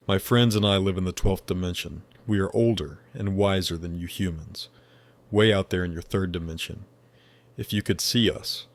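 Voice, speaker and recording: A man voicing a wise angelic male spirit from another dimension, speaking in a measured, formal and gently curious way. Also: low-pitched, lightly acted. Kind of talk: scripted dialogue.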